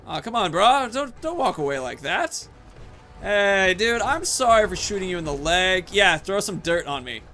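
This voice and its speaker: A man's voice: high voice, sing-song, normal speed, pushed and bright, faint music behind. A young man hosting casual gameplay commentary in a chatty, jokey, rambling way. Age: young adult. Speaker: gaming video host. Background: game ambience, faint noise.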